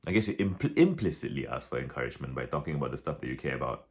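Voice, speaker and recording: A man talking through his thoughts in a casual reflective vlog, with a deep relaxed voice, many restarts and fillers. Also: rapid-fire. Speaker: vlogger.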